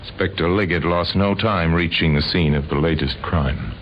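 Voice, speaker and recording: Deep, deliberate radio crime narrator , a man. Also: lightly acted. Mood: grave. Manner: deliberate, dramatic. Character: grave, sardonic male narrator of murder cases. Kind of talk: scripted old-time radio crime narration. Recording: dull, noisy old radio recording.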